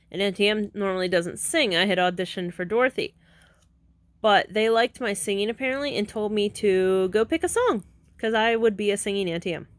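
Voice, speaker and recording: A woman's voice: mid-range voice, no room sound. A woman in her thirties talking casually at home in a rambling vlog, chatty and digressive, often correcting herself and laughing. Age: 30s. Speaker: vlogger.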